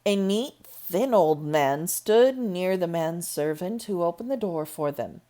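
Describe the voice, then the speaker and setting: A woman reads a classic novel aloud at an unhurried pace. Her low voice rises and falls expressively across each sentence. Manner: slow, expressive reading. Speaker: storybook reader.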